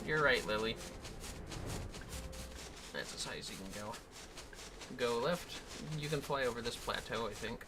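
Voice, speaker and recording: A man's voice: mid-range voice, unhurried, long pauses, noisy background. A man hosting a gaming livestream, chatting casually and playfully as he guides another player along. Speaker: livestream host. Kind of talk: casual gameplay chat and guidance. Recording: loud game audio behind voice. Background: loud game music and effects.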